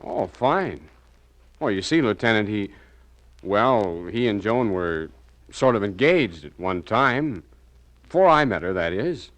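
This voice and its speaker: An anxious, naive murder suspect from a 1940s radio drama, speaking in a low American voice. He is hesitant and earnest, as if always defending himself. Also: very animated, a little slow, a bit bright.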